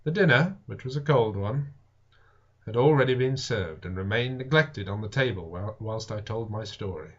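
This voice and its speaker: A man's voice: fairly low pitch, animated, normal speed. Dull lo-fi recording of a man narrating theatrically. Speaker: audiobook reader. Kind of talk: dramatic literary narration of a Victorian science fiction novel.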